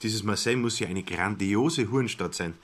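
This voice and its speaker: A man's voice: lowish voice, moderate pace, natural voice. An actor playing a smug, mistrustful small-time businessman in a radio drama, plain-spoken. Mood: wary. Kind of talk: literary radio drama dialogue.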